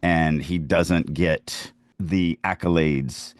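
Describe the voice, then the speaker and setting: A man's voice: low voice, fairly even intonation, slow pace, dry recording. A man co-hosting a podcast, casually discussing the craft of writing. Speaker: podcast co-host and writer.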